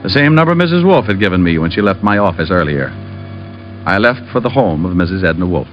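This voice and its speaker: A man's voice: low-pitched, expressive, quick pace. A man playing a wry, easygoing private detective, wisecracking and narrating in 1950s radio drama style. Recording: dull old radio recording, music behind.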